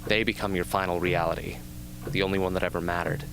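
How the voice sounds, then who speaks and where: A man playing a young, haunted but earnest arena survivor with intense, deliberate delivery in an amateur radio drama. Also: low voice, fairly even intonation, gravelly, noisy background. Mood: haunted, reflective. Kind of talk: amateur radio drama acting, interview scene.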